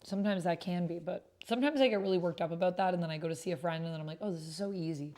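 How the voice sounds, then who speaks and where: A woman's voice: fairly low pitch, rapid-fire, mellow. A woman streamer chatting casually and musing to herself as she plays a roleplay game. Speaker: streamer playing a solo tabletop roleplay game. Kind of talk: casual livestream chat, thinking aloud.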